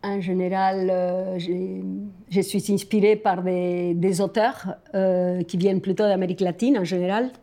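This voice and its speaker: A woman's voice: fairly low pitch, steady tone, a little slow, hardly any pauses, warm tone. A woman from Argentina, a native Spanish speaker with an Argentine accent, talks reflectively and personally to a companion, often searching for words.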